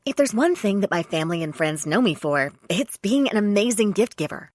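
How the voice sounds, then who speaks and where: A woman's voice: medium pitch, expressive, brisk, darkish tone, faint music behind. A woman reading a scripted first-person testimonial as an advertisement voice-over.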